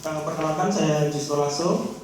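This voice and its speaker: A man from Indonesia talks casually before a seminar audience, stopping often with fillers and slipping in wry jokes about himself. His slightly high voice sounds far off in a very echoey room, with steady noise behind him. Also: moderate pace, crowd noise. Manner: hesitant, self-deprecating humor, many fillers. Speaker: guest speaker, novelist. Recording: echoey room, distant mic, noisy.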